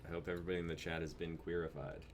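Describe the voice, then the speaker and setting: A man's voice: low-pitched, little pitch movement, racing. A young man hosting a livestream in casual chat. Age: young adult. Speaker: livestream host. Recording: clear noise, keyboard clicks, home stream.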